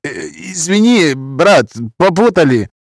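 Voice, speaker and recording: A man's voice: fairly high pitch, lively intonation, measured pace, no echo, clean studio sound, pitched above the performer's own voice. A man voicing a drunken middle-aged man with a Romani accent, pushy and wheedling, in an audiobook story. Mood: apologetic, wheedling. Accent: Romani-accented Russian.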